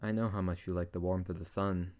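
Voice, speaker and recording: A low-pitched tsundere wizard, acted by a man, in an intimate ASMR audio drama. He teases his beloved and comforts them softly, with an affectionate, slightly hesitant manner. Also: flat delivery, a little fast, lightly acted. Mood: tender, reassuring. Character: tsundere male wizard, teasing, tender best friend turned lover.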